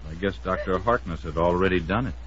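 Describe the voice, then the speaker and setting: An actor playing a calm, matter-of-fact ally in an old radio detective drama. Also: male voice, sits low, fast talker, lightly acted. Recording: noisy, muffled old radio recording.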